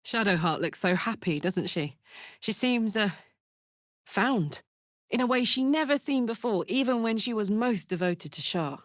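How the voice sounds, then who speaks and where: A woman plays a brash female adventurer from a fantasy video game. She takes her time over blunt, informal lines, rowdy yet warm-hearted. The voice sits in a middle register and is recorded very dry. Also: mid-range voice, unhurried, no room sound, natural voice. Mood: tender, wistful. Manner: informal, blunt, expressive delivery.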